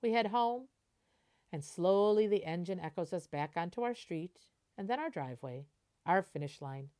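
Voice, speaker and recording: Lively woman reading a picture book aloud. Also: lowish voice, normal speed, smooth. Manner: animated storytelling, explaining and translating as she goes. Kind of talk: expressive picture-book read-aloud with explanatory asides.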